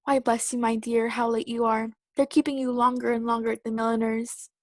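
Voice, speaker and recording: A woman's voice: on the high side, flat delivery, fairly quick, a little mellow, no echo, clean studio sound, close to an everyday voice. A woman playing a bustling, affectionate Victorian mother running her household in a radio play.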